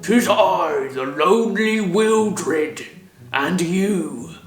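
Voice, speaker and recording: A man's voice: high voice, lively intonation, very slow, echoey room, full character voice. A man voicing a hungry, lonely feral wolf with theatrical, old-fashioned speech, as in a storybook. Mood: menacing.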